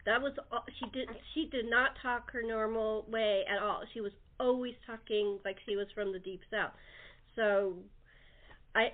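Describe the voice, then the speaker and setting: A giggly woman hosting a casual cast interview, laughing often between remarks, over a tinny phone line. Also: a little high.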